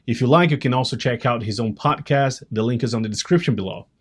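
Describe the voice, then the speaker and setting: A male podcast host with a middle-pitched voice opens the show and greets his guest. He talks politely and stops now and then on small fillers. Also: fast talker, breathy edge.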